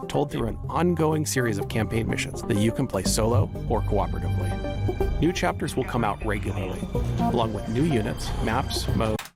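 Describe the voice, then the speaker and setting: A man's voice: mid-range voice, lively intonation, normal speed, creaky. A man delivering scripted promotional narration as a game developer. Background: loud music.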